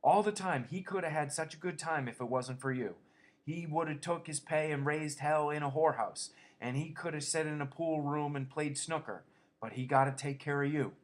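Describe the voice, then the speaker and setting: A man putting on a shrill, scolding old aunt. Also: moderate pace, lightly acted.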